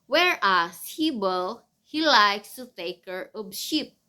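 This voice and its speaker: Slow-speaking woman teaching a child. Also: medium pitch, expressive, sharp tone, a little hiss or hum. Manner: patient, questioning, simple repetitive phrasing. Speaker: mother teaching her child. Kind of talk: Bible story lesson with quiz questions for a child.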